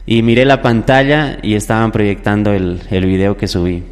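Young man, lowish pitch, interviewed musician, conversational, long echo. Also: fairly even intonation, moderate pace, clear, resonant tone. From southern Ecuador. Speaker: musician guest, popular music singer. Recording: echoey hall-like reverb.

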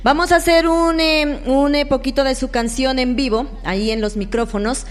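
A woman with a Colombian accent hosting a live local radio interview, halting at times as she searches for words. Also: high-pitched, steady tone. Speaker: radio host interviewing musicians. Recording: echoey hall-like reverb.

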